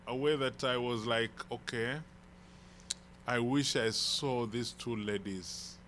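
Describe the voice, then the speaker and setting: Kenyan male church elder, unhurried storyteller, bright clear tone. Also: mid-range voice, a little hiss or hum. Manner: slow, rambling storytelling with restarts. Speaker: visiting elder preacher. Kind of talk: informal sermon introduction. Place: church hall.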